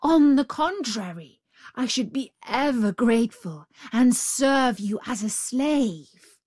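Woman voicing a sly, ravenous, slow-talking tiger. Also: fairly high pitch. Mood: pleading. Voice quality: growling. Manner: pleading, then gloating and menacing. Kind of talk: storybook character voice.

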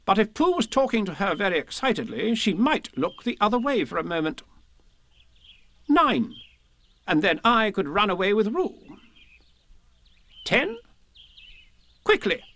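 A self-important rabbit from a children's tale. The voice is pitched high and swings about as it declaims its schemes with pompous, bossy briskness. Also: male voice, big pitch swings, sharp tone, heavily acted. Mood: proudly declaiming.

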